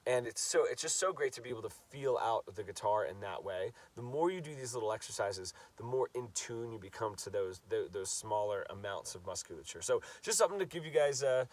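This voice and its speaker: A man's voice: lowish voice, lively intonation, brisk, hardly any pauses, distant mic. A man with a stuffy nose from a cold, teaching a casual guitar lesson, talking loosely and repeating words as he demonstrates. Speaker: guitar coach.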